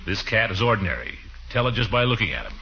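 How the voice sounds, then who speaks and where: An actor playing a fussy, exasperated middle-aged uncle, pompous and blustery, in 1940s radio comedy style. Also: male voice, lowish voice, big pitch swings, quick pace, bright, forceful tone, exaggerated voice. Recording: dull, noisy 1940s radio recording.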